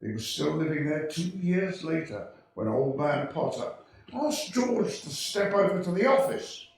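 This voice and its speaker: Radio play celestial narrator, a man acting, authoritative storyteller with swooping, high voice, far from the mic in heavy reverberation. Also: very animated, unhurried, vocal fry, heavily acted. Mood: knowing, storytelling. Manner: instructive, storytelling. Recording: distant, very echoey stage hall.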